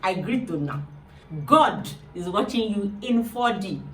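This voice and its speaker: A woman's voice: mid-range voice, lively intonation, a little slow, soft background music. A woman with a Nigerian accent hosting a show and speaking straight to viewers. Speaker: show host. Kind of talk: presenter addressing viewers. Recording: roomy echo, distant from mic.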